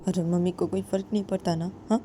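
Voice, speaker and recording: A woman voicing a tearful young daughter-in-law who speaks in a soft, breathy half-whisper, hurt and stubborn. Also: lowish voice, steady tone, quick pace, slight background noise, lightly acted.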